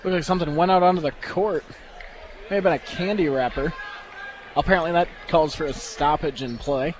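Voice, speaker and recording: A man provides color commentary on live sports radio. His voice sits notably high for a male, and he explains things in a conversational way. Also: a little fast, a little hiss or hum, rough recording.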